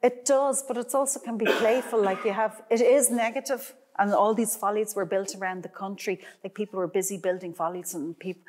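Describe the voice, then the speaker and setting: A woman's voice: mid-range voice, steady tone, brisk, few pauses, a little mellow, a little hiss or hum. A middle-aged woman, a painter, chatting in a gallery, rambling with many restarts and fillers. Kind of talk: casual conversation.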